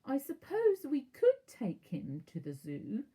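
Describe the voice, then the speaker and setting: A fussy, snappish, doting aunt from a children's storybook, played by a woman reader. Her voice is pushed high and shrill, with a slightly husky edge and heavy emphasis. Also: huge pitch swings, slow, mellow, heavily acted. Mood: grudging.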